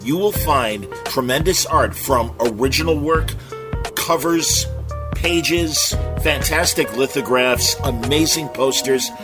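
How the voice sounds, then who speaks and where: Male podcast host over clear music. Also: medium pitch, slow. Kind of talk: informal podcast announcements and sponsor plugs.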